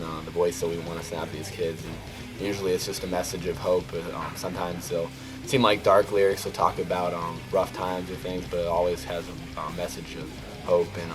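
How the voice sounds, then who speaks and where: A man's voice: low voice, poor audio. A twenty-one-year-old man, a band's singer, telling a story in a rambling, casual way. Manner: rambling, full of fillers. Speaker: band vocalist. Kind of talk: casual interview.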